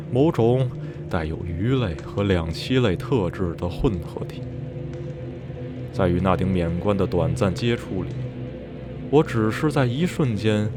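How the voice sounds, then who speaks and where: A man playing a brooding, obsessed narrator recounting eerie memories in a radio drama. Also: deep voice. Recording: radio drama with music underneath.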